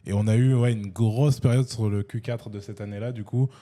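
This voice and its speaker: Fast-talking man with a mellow voice. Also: fairly low pitch, fairly even intonation. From France. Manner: fast, loose, with restarts and fillers. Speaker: host and e-commerce coach. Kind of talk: casual sit-down interview.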